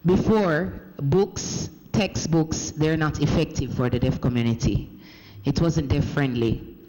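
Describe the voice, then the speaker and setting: A man with a Jamaican accent delivering a formal speech in a parliament chamber, measured, often restarting and correcting himself as he goes. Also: on the high side, a little mellow. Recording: echoey parliament hall.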